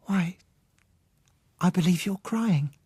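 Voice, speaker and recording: Audiobook role: male narrator as a timid eighteen-year-old girl, high-pitched, hesitant and pleading, close dry recording. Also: slow, dark tone, breathy edge, dry recording, heavily acted. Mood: gentle pleading. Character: timid, trembling blind eighteen-year-old young woman. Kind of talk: audiobook character dialogue.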